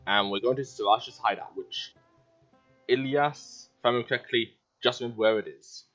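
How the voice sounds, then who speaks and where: A man's voice: mid-range voice, a little fast, a bit bright. A man giving casual playthrough commentary on a video game, in short, offhand, muttered reactions. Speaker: gamer playing and commenting. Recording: dull audio with game music. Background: game music.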